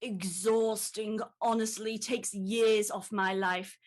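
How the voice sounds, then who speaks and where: A woman's voice: mid-range voice, steady tone, slow pace, natural voice. A woman playing a self-important, put-upon boss with a haughty, long-suffering, melodramatic manner in a comedy drama. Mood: exasperated.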